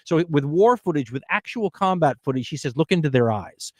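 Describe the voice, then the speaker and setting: A middle-aged podcast host talks with animated, emphatic energy. His voice sits a little high for a man. The sound is dry and close, with no room echo. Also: fairly quick. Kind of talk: casual conversation.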